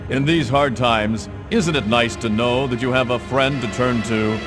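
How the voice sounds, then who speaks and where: A man's voice: mid-range voice, unhurried, music in the background, close to an everyday voice. A man playing a friendly, salesmanlike TV commercial announcer for a game's parody advert. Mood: soothing sales pitch.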